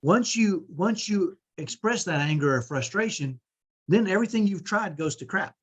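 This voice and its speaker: A middle-aged man with a strong, powerful voice, coaching parents in an energetic, emphatic way and often checking in with "right?". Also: sits high, moderate pace, slightly rough. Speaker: host.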